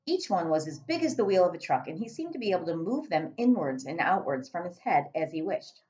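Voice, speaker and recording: A woman teacher reading a children's storybook aloud to pupils with expressive narration. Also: fairly low pitch, a little fast, muffled recording.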